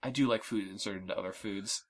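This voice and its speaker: A man in his thirties hosting a casual podcast chat, giggly and animated. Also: on the low side, quick pace. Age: 30s. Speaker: podcast host.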